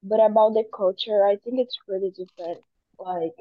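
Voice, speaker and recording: A woman's voice: mid-range voice, moderate pace, no echo, lo-fi sound. A young woman from Brazil with a Brazilian Portuguese accent, an exchange student giving casual interview answers. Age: teens to young adult.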